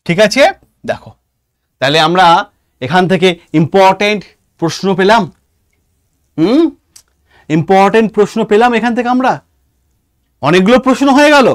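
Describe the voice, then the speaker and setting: A man's voice: sits high, lively intonation, moderate pace, pauses often, no room sound, clean studio sound. A man with a Bengali accent teaching an online exam-prep class, talking informally to students and repeating points emphatically as if dictating.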